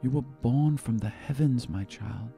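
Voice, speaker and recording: A man voicing a gentle, sorrowful father in a tabletop roleplay, speaking tenderly, solemnly and haltingly. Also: medium pitch, vocal fry, soft background music. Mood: loving, solemn. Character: gentle, sorrowful elven father revealing a painful secret.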